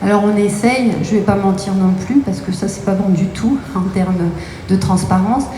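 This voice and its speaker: A woman's voice: medium pitch, moderate pace, dark tone. A woman from Brittany, a company co-owner, answering interview questions with many hesitations. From Brittany, France. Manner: explanatory, many hesitation fillers. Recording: echoey room with faint noise.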